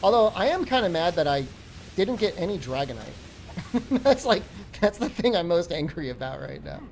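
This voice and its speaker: Casting note: a man in his early forties as a game streamer. His pitch swings widely, and he is loose and foul-mouthed, roasting his chat for laughs. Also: big pitch swings, moderate pace, vocal fry, darkish tone, a little hiss or hum. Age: 40s. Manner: profane, joking rants at chat. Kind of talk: live-stream chat while gaming.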